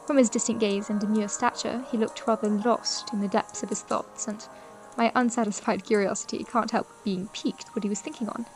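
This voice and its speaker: A woman's voice: mid-range voice, fairly even intonation, fairly quick, soft background music, close to an everyday voice. A woman playing a curious, genteel young lady narrating a period audio drama in a formal, thoughtful storytelling manner. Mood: curious, reflective.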